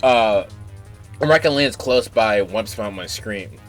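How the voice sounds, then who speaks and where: A man's voice: fairly low pitch, animated, slow pace. A man doing casual live stream commentary while reading game text aloud, with offhand asides. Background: game music.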